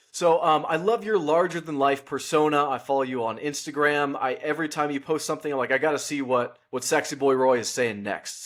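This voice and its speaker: A man's voice: fairly high pitch, a bit bright. A young adult man hosting a podcast, asking questions in a friendly, chatty way and laughing easily. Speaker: podcast host. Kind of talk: casual podcast interview.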